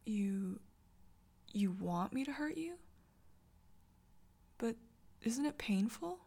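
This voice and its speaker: A woman voicing an intense, tender young girlfriend in a whispered audio roleplay. She speaks in a soft, close whisper and stammers when she gets flustered. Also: a little high, animated, slow pace, vocal fry, breathy edge, heavily acted. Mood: tender confession.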